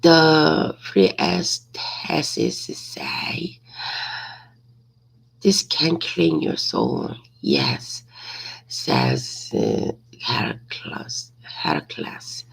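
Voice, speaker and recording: A woman reading a simple story aloud as practice, hesitantly, repeating and correcting words, with a raspy, creaky voice. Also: deep voice, wildly swooping pitch, drawn-out words, poor audio.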